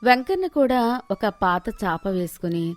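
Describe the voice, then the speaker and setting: A woman's voice: medium pitch, very animated, normal speed, faint music behind. A woman narrating a scripted moral story as the storyteller.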